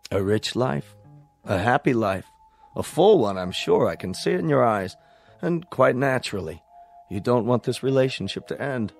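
A courteous corporate salesman in a radio drama. His mid-pitched voice moves up and down expressively as he flatters and persuades in a polished way. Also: moderate pace, gravelly, soft background music. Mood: reassuring.

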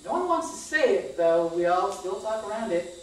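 A woman's voice: medium pitch, very animated, moderate pace. A woman in her thirties reading a scripted personal story aloud on a small theater stage. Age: 30s. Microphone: far camera microphone. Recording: echoey distant stage recording, faint noise.

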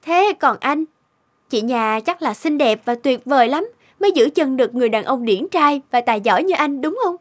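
An actress voicing a young female office worker in an animated cartoon, with a coy, expressive way of talking. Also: high voice, moderate pace. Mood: coy, flattering.

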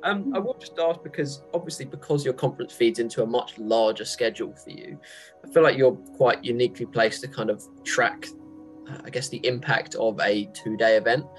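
A man's voice: mid-range voice, a little fast, faint music behind. A young man asking questions in an informal, hedging way as an interviewer on a video call. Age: young adult. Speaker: interviewer, magazine editor. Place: small room at home, video call.

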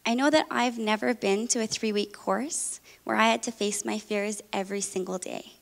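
A young woman speech therapist giving an earnest, measured presentation on stage. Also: medium pitch, fairly even intonation, gravelly. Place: large auditorium stage. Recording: long hall reverb.

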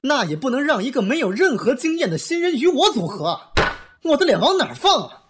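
Man acting haughty male pop star, swinging pitch. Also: sing-song, brisk, a bit bright, a little hiss or hum, exaggerated voice. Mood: indignant. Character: proud, vain young male pop star. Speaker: voice actor in fan audio drama. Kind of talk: radio drama acting. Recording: music under the voice.

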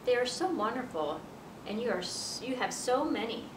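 A woman's voice: big pitch swings, moderate pace. A woman voicing a small, eager, polite young male fish in a storybook, pleading and playful. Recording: roomy, distant mic with noisy rumble.

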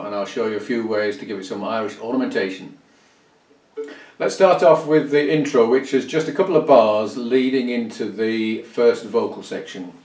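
An older man giving an informal instructional music lesson as a fiddle teacher, speaking with hesitant pauses mid-phrase. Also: mid-range voice, darkish tone. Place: small indoor music room at home. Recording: roomy, distant microphone sound.

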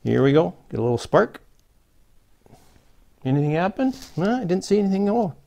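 A middle-aged man with a gravelly voice gives a casual, folksy repair tutorial, talking through each step as he works. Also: high-pitched, very animated, fairly quick, warm tone, dull audio. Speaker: host of a CB radio repair channel. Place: small plain indoor room, seated at a table.

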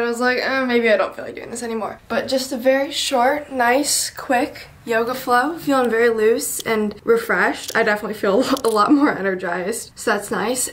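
A young woman vlogging at home in casual chat, rambling with lots of filler words and holding the camera at arm's length in her bedroom. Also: a little high. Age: young adult. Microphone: camera held at arm's length.

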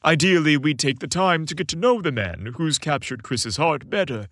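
A man voicing an old Santa Claus in a story, booming and emphatic, with a fatherly weight. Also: expressive, normal speed, fairly bright tone, no echo, studio quality. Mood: grave, worried. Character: jovial booming old Santa Claus, grave when worried.

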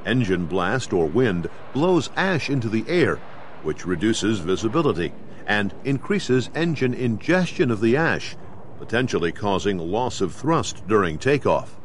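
A man with an American accent gives measured, formal, instructional narration in the style of a scripted training video. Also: fairly low pitch, very animated. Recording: 1990s videotape with clear background noise.

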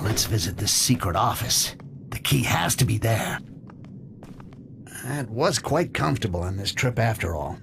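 A man voicing a cunning, sardonic goblin thief in a video game, with a gravelly, wry delivery. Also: medium pitch, background noise. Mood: musing. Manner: sarcastic, wry, muttering to himself. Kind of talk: video game character acting.

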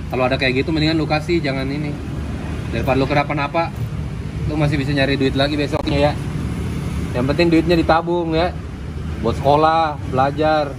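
A man's voice: fairly high pitch, little pitch movement, fairly quick, long pauses. A young man with a Jakarta accent chats informally and uses slang as he does a casual street interview. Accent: Jakarta Indonesian. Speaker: vlogger interviewing people on the street. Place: outdoors on a city street at night. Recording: street traffic, loud music bed.

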